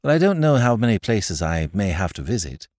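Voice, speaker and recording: A man voicing a breezy gentleman with an airy, casual way of talking. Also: lowish voice, sing-song, a little fast, no room sound, studio quality. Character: breezy upper-class English male aristocrat.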